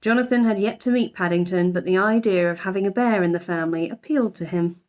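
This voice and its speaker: A woman giving an expressive narration of a children's storybook, like a teacher reading aloud. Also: mid-range voice, quick pace.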